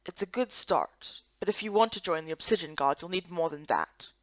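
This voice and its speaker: A woman acts out a serious male warrior from a fantasy game. He is gruff and measured, pitched low and plain in his words. The sound is dry and slightly lo-fi. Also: lively intonation, dry recording, close to an everyday voice. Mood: stern, blunt.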